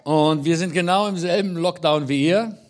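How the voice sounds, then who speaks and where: A middle-aged man who grew up in Israel, speaking as a guest at a church service in a chatty, joking way, often pausing on fillers. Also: fairly high pitch. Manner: chatty and joking, with frequent hesitations. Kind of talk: casual talk to a congregation. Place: meeting hall.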